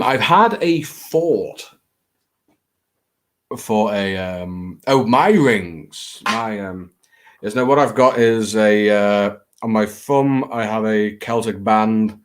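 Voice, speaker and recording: A man with a fairly low voice and a Scouse accent chats casually to his viewers. He rambles and hesitates, putting himself down and adding the odd theatrical flourish. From England. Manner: hesitant, halting, self-deprecating, with theatrical flourishes. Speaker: host of a late-night livestream.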